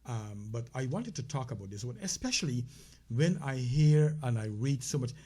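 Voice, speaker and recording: A man's voice: mid-range voice, lively intonation, normal speed, dark tone, husky. A man with a Caribbean accent co-hosting a casual health talk show, speaking conversationally with many fillers and restarts. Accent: Caribbean English.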